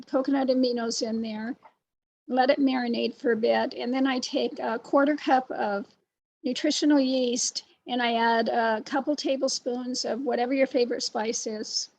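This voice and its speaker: A soft-spoken woman explaining a recipe in a casual online class, hesitant with fillers. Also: a little high, low-quality recording. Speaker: co-host. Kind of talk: casual chat.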